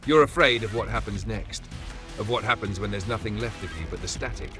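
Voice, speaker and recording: A man's voice: lowish voice, a little fast, music in the background, natural voice. A man voicing an eerie, knowing supernatural caller who sounds menacing and almost loving in a horror story. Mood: menacing, tender. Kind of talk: horror story character dialogue.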